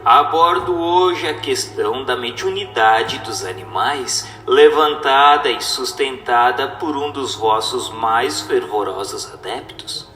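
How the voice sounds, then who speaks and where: A man reads a formal text aloud as scripted narration, in a measured, didactic manner. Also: mid-range voice, sharp tone. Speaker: narrator reading a book aloud. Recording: distant mic with music underneath. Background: music.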